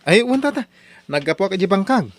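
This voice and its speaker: A man playing a young laborer out of work who is earnest and animated. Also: high-pitched, quick pace. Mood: hopeful. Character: young man, jobless laborer turning to farming. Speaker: lead character. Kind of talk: radio drama acting.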